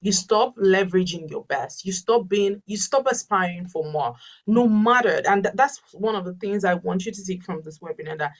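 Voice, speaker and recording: A woman's voice: medium pitch, expressive, moderate pace, distant mic. A Yoruba-speaking woman, a life coach answering webinar questions earnestly and emphatically, often repeating her key phrases. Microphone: video call.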